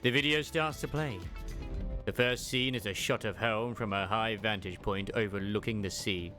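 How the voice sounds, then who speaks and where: A man's voice: on the low side, normal speed, bright, forceful tone, soft background music. A man reading scripted story narration as a voice-over for a fandub.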